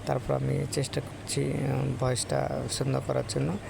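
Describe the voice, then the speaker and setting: A Bangladeshi man presents an informal phone-recorded tutorial in a monotone, heavily creaky voice. He speaks haltingly at an average pitch, and there is clear noise behind him. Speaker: vlogger demonstrating an app. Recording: noisy phone recording, steady background noise.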